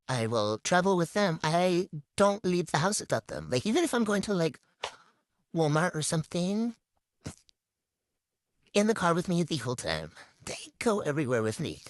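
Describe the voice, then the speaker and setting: Chatty young woman with lively pitch. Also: dry recording, studio quality. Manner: chatty and candid, full of ums and likes. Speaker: channel host. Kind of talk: casual Q&A vlog, reading questions aloud then answering.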